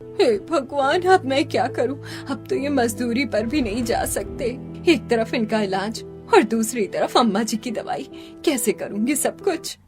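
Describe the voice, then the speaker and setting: A woman's voice: a little high, lively intonation, a little slow, over music. A woman voicing a distraught, pleading poor village wife in a Hindi cartoon story. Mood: tearful despair. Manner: pleading, tearful.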